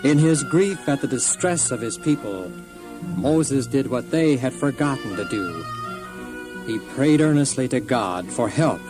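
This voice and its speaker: A man's voice: mid-range voice, animated, a little slow, over music. A man narrating a scripted children's Bible story in a solemn, dramatic storytelling manner.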